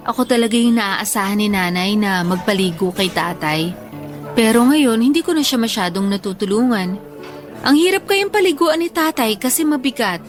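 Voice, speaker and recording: A woman playing a tired, affectionate young schoolteacher in a Filipino radio love drama, speaking softly and earnestly. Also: fairly high pitch, close to an everyday voice.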